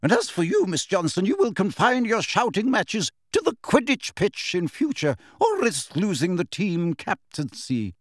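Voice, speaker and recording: A man's voice: sits high, wildly swooping pitch, moderate pace, few pauses, no room sound, studio quality, voice pushed up, heavily acted. Man doing a stern old schoolmistress, Professor McGonagall. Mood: stern scolding. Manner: sharp, clipped scolding. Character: stern strict older female teacher.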